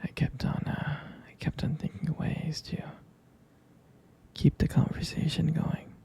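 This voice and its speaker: Give the part of a smitten, gentle boyfriend acted by a man in an intimate ASMR roleplay. The voice is extremely soft and dark, heavy with creak, and often drops toward a whisper. The delivery is slow, hesitant and full of affection. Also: a little high, level tone, full character voice. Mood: tender, wistful. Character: tender, smitten boyfriend reminiscing about a first date.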